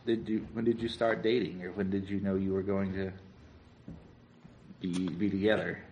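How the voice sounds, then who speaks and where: A man conducts an oral history interview, asking friendly and curious questions. His voice is on the low side, with a faint hiss behind it. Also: little pitch movement, normal speed, darkish tone.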